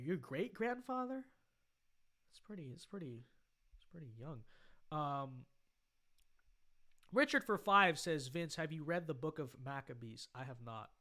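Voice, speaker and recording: A young American man hosting a casual livestream, chatting and reading out viewer comments in a halting way that often repeats phrases. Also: medium pitch, pushed and bright. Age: young adult. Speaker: livestream host.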